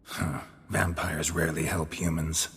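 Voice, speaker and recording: An actor playing a gruff, stoic, world-weary monster hunter in a video game, gravelly and deadpan, speaking in terse clipped sentences. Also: male voice, a bass voice, a little slow, long reverb tail, slight background noise. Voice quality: gravelly, husky. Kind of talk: video game cutscene acting.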